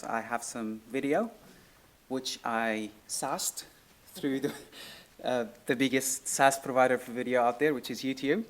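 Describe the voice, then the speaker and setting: A man with a Syrian Arabic accent speaks at a medium pitch as he co-presents a workshop. His delivery is informal and light-hearted, like a techie addressing a room. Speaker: workshop co-presenter, software engineer. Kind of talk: informal technical talk to an audience.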